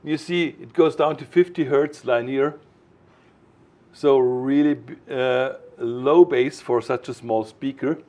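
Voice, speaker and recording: A man explaining technical matters informally as a product designer, in a didactic, emphatic way with halting phrasing. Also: mid-range voice, a little mellow.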